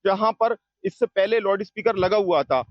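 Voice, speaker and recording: A male field reporter speaks in a high-pitched voice, rolling through a wordy piece to camera. The sound is dry and somewhat dull. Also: moderate pace, dry recording.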